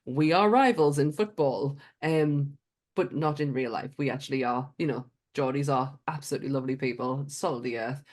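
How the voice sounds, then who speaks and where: A woman's voice: extremely low pitch, normal speed, a little mellow. A woman from Sunderland with a Mackem accent, co-hosting a casual podcast chat. She giggles often, swears offhandedly and trails off mid-sentence. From Sunderland, England. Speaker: podcast co-host.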